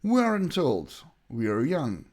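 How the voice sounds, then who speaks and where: A man with a Ukrainian accent, a native Ukrainian speaker, teaching English by deliberately reading out short example sentences and repeating them. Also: fairly high pitch, huge pitch swings, darkish tone. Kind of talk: bilingual language lesson, reading example sentences and translations.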